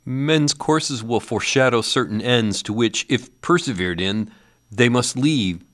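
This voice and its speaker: A man's voice: medium pitch, lively intonation, measured pace, fairly bright tone, voice pushed up. A man playing a frightened old miser, strained and pleading. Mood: anxious. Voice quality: strained, rising to anguished cries. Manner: earnest, beseeching, old-fashioned speech. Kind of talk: audiobook character voice.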